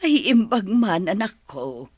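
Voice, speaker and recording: A woman playing a conciliatory middle-aged village mother in a radio drama, husky, with an Ilocano accent. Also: medium pitch, big pitch swings, unhurried, no room sound. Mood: affectionate. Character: conciliatory village mother of a bride-to-be, slow-talking.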